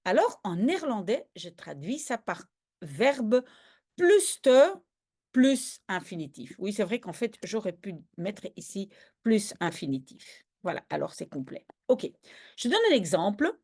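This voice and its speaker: Lively woman teaching step by step. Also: medium pitch, unhurried, breathy edge. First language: Dutch. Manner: patient, step-by-step, checks understanding often. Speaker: language teacher. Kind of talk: explaining grammar in a video lesson.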